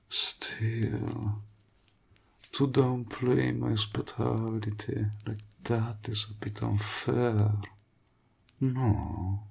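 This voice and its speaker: Guy doing an evil warlock for audio roleplay, super slow n taunting, deep breathy voice, kinda whispery. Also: heavily acted. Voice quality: breathy, gravelly. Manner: slow, theatrical, taunting, often dropping into a whisper. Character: evil, mocking male warlock toying with his client.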